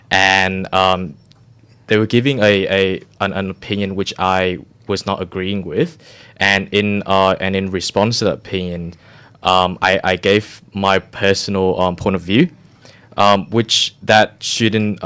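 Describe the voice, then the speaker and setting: A man on a student radio panel, speaking hesitantly with many pauses and ums. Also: deep voice, little pitch movement, fairly bright tone, smooth. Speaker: student guest.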